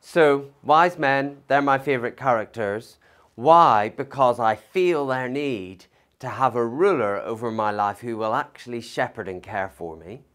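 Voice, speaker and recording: A man pastor giving a conversational Christmas sermon, thoughtful, with pauses and fillers. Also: medium pitch, fairly bright tone. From not from Liverpool.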